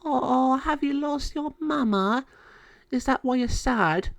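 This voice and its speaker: Someone doing a cute little storybook fairy girl, really high voice, sweet n gushy, soft-hearted. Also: takes it slowly, no echo. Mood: tender pity. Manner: sweet, gushing.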